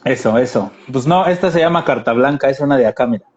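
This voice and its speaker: Easygoing Mexican guest on a muffled call. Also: male voice, mid-range voice, fast talker, slight background noise. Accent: Mexican Spanish. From Mexico City. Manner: relaxed, easygoing, uses fillers like "este". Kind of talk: casual interview chat.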